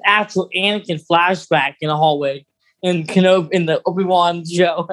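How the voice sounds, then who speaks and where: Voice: mid-range voice, steady tone, normal speed, fairly bright tone. A podcast host chatting casually as an enthusiastic fan, often breaking off and restarting sentences.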